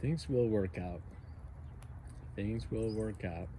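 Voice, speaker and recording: A man in his forties from the United States, chatting casually outdoors by a fire pit in a soft, rambling way with many fillers. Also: lowish voice, slow pace, slight background noise. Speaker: outdoor vlogger. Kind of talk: casual rambling chat to camera.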